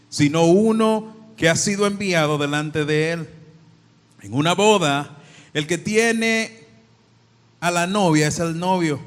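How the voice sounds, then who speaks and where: Dominican preacher in an echoing hall. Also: male voice, fairly high pitch. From Dominican Republic. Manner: prays and reads scripture aloud, then talks chattily to the congregation. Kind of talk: sermon. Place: church hall. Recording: long church hall reverb.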